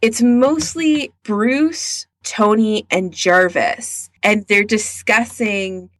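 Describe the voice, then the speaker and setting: A woman podcast co-host talks in a chatty, informal way with a giggly edge. Her pitch is average for a woman, and the recording is close and dry. She sounds like she is swapping recommendations in casual conversation. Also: extremely slow, a bit bright, no room sound. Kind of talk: casual chat recommending picks.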